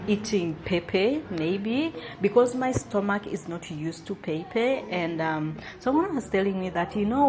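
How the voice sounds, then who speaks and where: A young woman from Uganda chats to her viewers in a loose, rambling travel-vlog style, full of "um" and "you know". Her voice sits a little on the low side for a woman. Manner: chatty, many fillers, addresses viewers as guys. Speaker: travel vlogger. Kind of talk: casual chat to camera.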